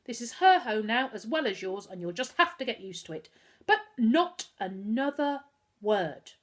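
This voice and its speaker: A woman voicing a stern, fussy mother in a children's story who scolds briskly. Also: mid-range voice, big pitch swings, a bit bright, husky. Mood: scolding.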